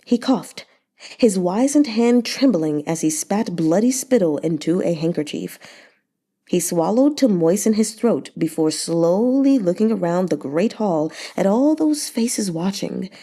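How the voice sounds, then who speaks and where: A woman's voice: mid-range voice, lively intonation, a little slow, warm tone, husky. A woman reading a novel aloud in a dramatic audiobook style, with a long reverberant echo on the recording. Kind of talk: dramatic audiobook narration with acted dialogue.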